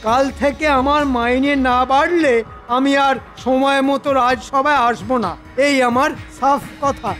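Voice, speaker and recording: Very high-pitched scheming minister. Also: male voice, fairly even intonation, moderate pace, a bit bright, soft background music. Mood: indignant. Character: scheming, petulant, self-important male government minister. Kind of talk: Bengali cartoon voice acting.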